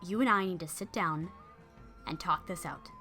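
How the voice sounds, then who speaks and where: A woman's voice: lowish voice, normal speed, pushed and bright, soft background music. Haughty widowed noblewoman, done by a woman narrator: indignant, imperious. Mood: relenting. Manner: indignant, commanding. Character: proud, grieving noblewoman, quick to rage.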